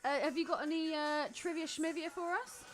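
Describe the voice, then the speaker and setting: A woman's voice: sits high, fairly even intonation, brisk, faint music behind. A woman with a southern English accent co-hosting a podcast, telling gossipy stories in blunt, slangy, sweary language. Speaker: podcast co-host. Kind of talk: casual chat, gossipy storytelling.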